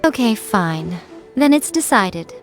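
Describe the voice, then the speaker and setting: A woman's voice: mid-range voice, sing-song, takes it slowly, soft background music. A woman voicing a sly, teasing and imperious fox shrine maiden in an anime video game, with a haughty, coy, playful manner. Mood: coy, amused.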